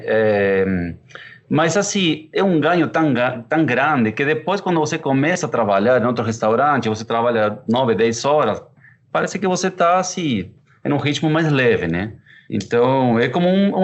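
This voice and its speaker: A man in his thirties from Argentina, a chef, answering interview questions with an Argentine Spanish accent, unhurried and thoughtful with frequent fillers. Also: mid-range voice. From Córdoba, Argentina. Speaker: chef and pizzeria partner.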